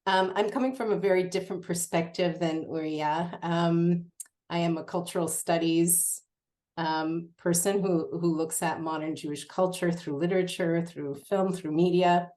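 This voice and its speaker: A woman from Montreal begins her panel talk in a somewhat low voice. She speaks in a friendly academic manner, often pausing on um. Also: fairly even intonation, mellow. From Montreal, Canada. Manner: friendly, with frequent "um" fillers. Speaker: cultural studies scholar, panel speaker.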